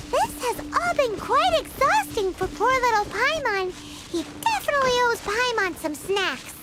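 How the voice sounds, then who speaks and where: Very squeaky little fairy sidekick. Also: female voice, very animated, unhurried, very noisy, exaggerated voice. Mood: weary, whiny. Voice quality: squeaky, childlike. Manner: chirpy, refers to self in third person. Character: tiny excitable fairy companion, childlike, greedy for snacks. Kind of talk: anime game voice acting.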